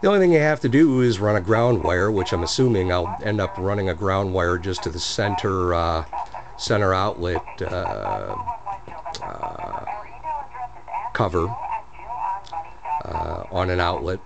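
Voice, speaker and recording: A man's voice: deep voice, lively intonation, unhurried, vocal fry, long pauses, very noisy. A man casually narrating a demonstration of his hobby project in a plainspoken, explanatory way. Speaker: hobbyist restorer of antique radios.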